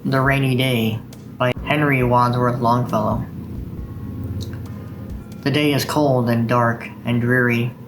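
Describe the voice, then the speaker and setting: A man hosts a poetry reading video in a mid-pitched voice. There is a noticeable creak and fry to his speech. Faint music plays behind him. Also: level tone, slow, long pauses, poor audio. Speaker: video host reading poems.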